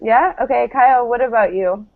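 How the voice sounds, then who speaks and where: A woman's voice: fairly high pitch, normal speed, phone-quality sound. A woman teaching English pronunciation in a live online lesson, encouraging and carefully enunciating model words over thin call audio. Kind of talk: live online lesson, drilling word pairs.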